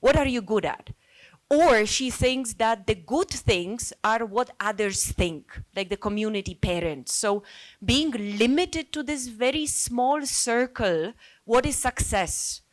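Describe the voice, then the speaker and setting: A woman's voice: on the high side, takes it slowly. A woman from Poland, a native Polish speaker, speaking informally and earnestly in a group discussion, reflecting and thinking aloud. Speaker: NGO worker speaking as a participant.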